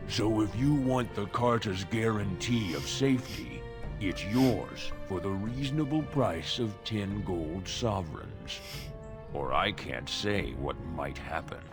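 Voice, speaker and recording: A low, slow, menacing gang thug. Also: male voice, full character voice. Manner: mock-polite, threatening. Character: menacing male gang thug shaking down merchants. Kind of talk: video game character acting.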